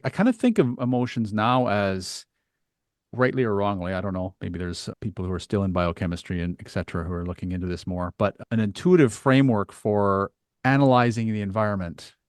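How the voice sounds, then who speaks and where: A man's voice: lowish voice, fairly quick, clean tone, no echo, studio quality. A man hosting a casual, reflective discussion, musing tentatively as he puts his ideas forward. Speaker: podcast host.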